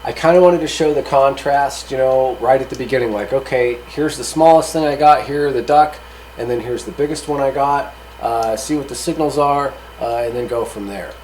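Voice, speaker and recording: A man with a mid-pitched voice talks through test results like a hobbyist host. He is casual and unscripted, rambling a little but matter-of-fact. There is some noticeable ambient noise in the recording. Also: normal speed, darkish tone. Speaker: hobbyist video host reviewing radio gear.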